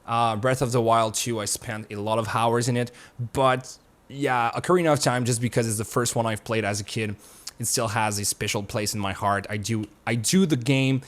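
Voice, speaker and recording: Young man, YouTube host, mid-pitched voice, casual rambling chat, occasional swearing, home studio. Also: fairly even intonation, moderate pace.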